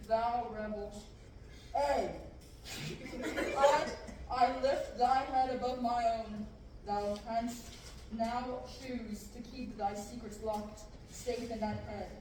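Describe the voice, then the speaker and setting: A woman reading a slow menacing dark lord. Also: a little high, steady tone, noisy background. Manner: slow grandiose verse, breaking into laughs. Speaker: table-read actor. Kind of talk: amateur Shakespearean table reading. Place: large classroom, indoors. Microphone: far camera. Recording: echoey room from far off.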